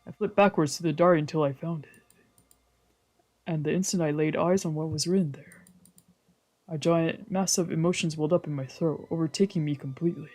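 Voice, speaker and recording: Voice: mid-range voice, steady tone, a little fast, darkish tone. A streamer reading a visual novel aloud as dramatic narration, with casual asides and the occasional stumble. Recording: music behind the voice. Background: background music.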